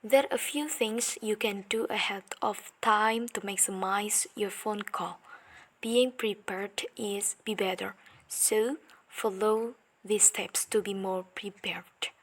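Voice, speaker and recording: Very unhurried young woman. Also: medium pitch, steady tone. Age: young adult. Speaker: student presenter. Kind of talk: scripted lesson presentation, read aloud.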